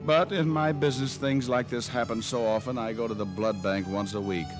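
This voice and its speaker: An actor playing a cool, wisecracking American private detective in an old radio drama, wry and flippant. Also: male voice, medium pitch, steady tone, fairly quick, natural voice. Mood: wry narration. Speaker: lead actor and narrator of a detective series. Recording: old radio recording with music behind.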